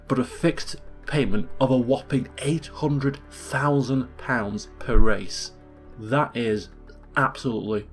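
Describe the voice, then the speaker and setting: A man with a slightly hoarse voice, hosting a chatty let's play and walking through game menus. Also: mid-range voice. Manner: upbeat, chatty, explains game menus step by step. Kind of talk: casual gameplay commentary.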